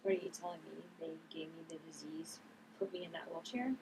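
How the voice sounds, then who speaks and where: A woman reads a shaken young woman demanding answers. Her questioning voice is on the low side, with faint background noise. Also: fairly even intonation, a little fast, darkish tone, rough recording.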